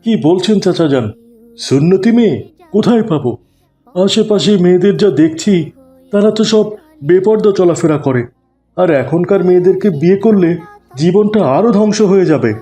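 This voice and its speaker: A man's voice: high voice, normal speed, long pauses, dark tone, close to an everyday voice. A man playing a sheepish, respectful but skeptical young man in an Islamic cartoon. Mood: doubtful, respectful. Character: sheepish wayward young man, twenty-five, skeptical about marriage.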